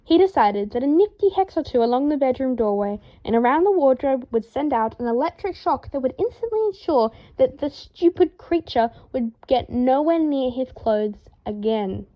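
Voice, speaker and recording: A woman reading fan fiction aloud as an audiobook, telling the story in a lively, dramatic way. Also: sits high, moderate pace, hardly any pauses, dull audio. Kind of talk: expressive audiobook narration.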